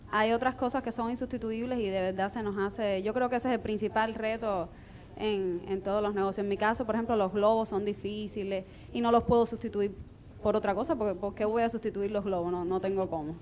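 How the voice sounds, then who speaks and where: Female entrepreneur, average pitch, somewhat halting and unscripted in a panel discussion. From Cuba. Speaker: small-business owner on a panel.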